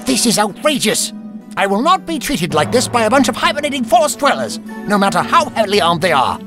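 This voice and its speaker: A man's voice: high-pitched, very animated, fairly quick. A man acting a fussy, pompous old traveller, swinging widely. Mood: outraged. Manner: pompous, prim, old-fashioned wording. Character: fussy, indignant elderly male time traveller. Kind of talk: audio drama acting. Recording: music under the voice.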